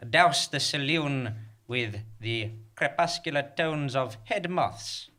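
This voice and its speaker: An actor playing a calm, menacing little homunculus who leads bandits in a comic radio play. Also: medium pitch, slow pace. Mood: commanding threat. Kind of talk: comic stage radio play before an audience.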